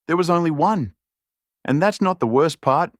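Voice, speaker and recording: A man's voice: fairly high pitch, lively intonation, normal speed, dry recording, clean studio sound, pitched above the performer's own voice, close to an everyday voice. A man voicing an earnest, uneasy young male wolf in an audiobook fantasy story, speaking in an urgent, questioning way. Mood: uneasy, confiding.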